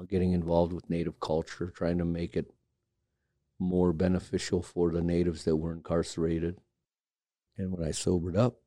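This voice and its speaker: A very low, gravelly man from Alaska talks slowly and thoughtfully, pausing often and picking his words with many fillers. His voice stays flat and mellow, recorded close and dry. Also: dry recording. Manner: reflective, halting with many fillers. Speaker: carver and studio owner. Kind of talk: documentary interview.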